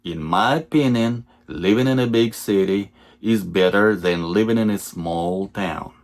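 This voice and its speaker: A man teaching English, reading simple example sentences slowly and repeating each one for learners. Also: lowish voice. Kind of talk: slow lesson reading example sentences twice.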